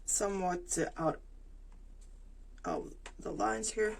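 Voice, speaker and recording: A woman, talking through her crafting casually, thinking aloud with pauses and fillers. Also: medium pitch, sing-song, vocal fry. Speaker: planner vlogger. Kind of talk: casual plan-with-me narration while crafting.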